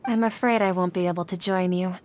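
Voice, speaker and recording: A woman playing a modest, earnest young scholar in a video game, speaking in a measured, formal way. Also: on the low side, fairly even intonation, darkish tone, natural voice. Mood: polite. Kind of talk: video game dialogue acting. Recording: game music behind voice.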